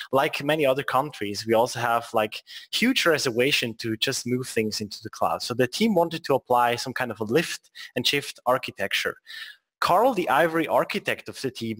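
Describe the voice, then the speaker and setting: A man's voice: medium pitch, fairly bright tone. A man from Switzerland with a Swiss accent, presenting a technical webinar with joking asides and frequent restarts.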